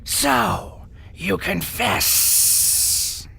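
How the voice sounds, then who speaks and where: An actress playing a sly, accusing prosecutor in a pulp audio drama, with a gravelly, husky rasp and theatrical delivery. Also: female voice, mid-range voice, lively intonation, drawn-out words, pushed and bright, heavily acted. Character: menacing, sly prosecutor of an underground mole court.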